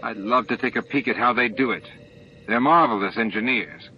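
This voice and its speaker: A man's voice: mid-range voice, moderate pace, bright, forceful tone, muffled recording, a little hiss or hum. A man reading the part of an eager, technically minded male starship engineer in an emphatic, explanatory manner. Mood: keen explaining.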